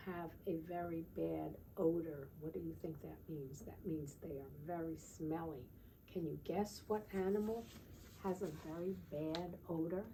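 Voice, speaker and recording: Woman, children's storytime teacher, unhurried delivery, relaxed warm timbre, gentle questioning manner, recorded a little way from the mic. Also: lowish voice. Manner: gentle teacherly, often asking tag questions. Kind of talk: storytime read-aloud with questions to young children. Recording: distant mic with faint background noise.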